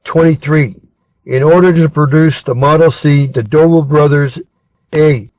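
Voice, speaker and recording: A man with an American accent reads scripted exam questions aloud in a measured, deliberate manner. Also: on the high side, a little mellow, dry recording, lo-fi sound. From Arizona, United States. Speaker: reader of test material.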